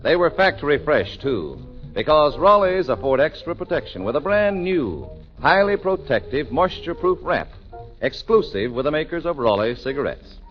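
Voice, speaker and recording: A man announcing a 1940s radio show with a polished, booming delivery. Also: very animated, moderate pace, soft background music. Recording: dull old radio broadcast.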